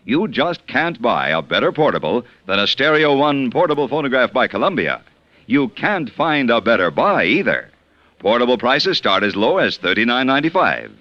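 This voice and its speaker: Male commercial announcer, 1950s radio, emphatic and very lively in pitch, close dry sound, slightly dull old recording. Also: moderate pace, dry recording. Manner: emphatic salesmanship. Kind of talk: polished 1950s radio ad read. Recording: dry, band-limited vintage radio.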